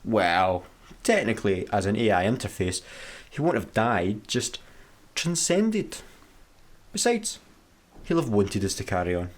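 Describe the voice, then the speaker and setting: A Scottish man playing an excitable, sarcastic space engineer in a sci-fi comedy radio play, with a dramatic, easily flustered manner. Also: lowish voice, measured pace. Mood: blasé.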